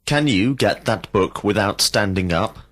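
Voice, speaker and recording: A man reading out slow, carefully enunciated drill questions as an English teacher in a recorded lesson. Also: lowish voice, lively intonation, a bit bright. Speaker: language teacher.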